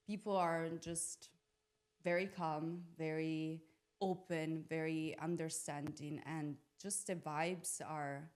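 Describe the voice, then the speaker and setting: A young adult woman from Italy with a slightly low voice speaks as a podcast guest. She reflects casually on personal experience, in an informal way, feeling for the right word as she goes. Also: fairly even intonation, takes it slowly, a little mellow. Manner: informal, searching for words, peppered with "like". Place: podcast studio.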